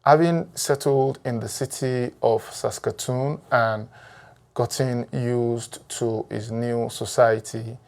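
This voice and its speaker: Male host narrating a documentary intro, unhurried, mid pitched voice. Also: creaky. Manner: steady, read-aloud introduction. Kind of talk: scripted narration.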